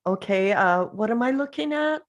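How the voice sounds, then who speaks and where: A woman reading the part of a bereaved, nostalgic mother in a drama table read, halting and conversational but composed. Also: mid-range voice, animated, natural voice. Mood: polite, puzzled.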